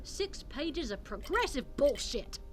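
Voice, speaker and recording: A woman's voice: high-pitched, very animated, slow pace, full character voice. A woman playing an imperious, pious public figure in an audio drama, with a haughty, clipped delivery. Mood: cold, indignant. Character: pious, imperious, hard-line anti-robot female public figure. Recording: loud music under the voice.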